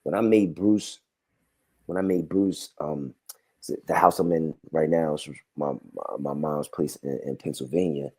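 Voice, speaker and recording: A man who directs films, talking in a relaxed, reflective way on a call from his mother's house. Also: very deep voice, measured pace, breathy edge. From Brooklyn, New York. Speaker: film director guest. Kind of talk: casual interview answers.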